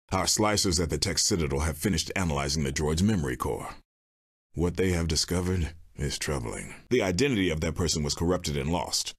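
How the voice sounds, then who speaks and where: An actor playing a stern, solemn Jedi master in a video game, speaking in a measured, formal and commanding way with a husky voice. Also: male voice, low-pitched. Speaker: video game mission giver. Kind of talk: scripted game character dialogue.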